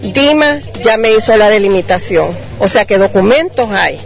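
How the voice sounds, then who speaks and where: A woman interviewed outdoors, calmly and firmly defending her claim in detail. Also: medium pitch, moderate pace, faint music behind. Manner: firm, detailed, self-defending. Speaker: landowner's granddaughter. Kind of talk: street news interview.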